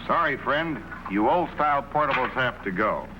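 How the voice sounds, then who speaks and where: A man announcing a mid-century television commercial as a sales pitch. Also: lively intonation, normal speed, heavy background noise. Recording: old TV ad soundtrack with music.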